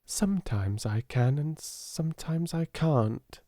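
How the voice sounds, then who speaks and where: A man's voice: fairly high pitch, lively intonation, takes it slowly, mellow, no room sound, studio quality, pitched above the performer's own voice. A man voicing a brave, imaginative little girl with a British accent, earnest and kindly in a storybook reading. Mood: stout, wistful.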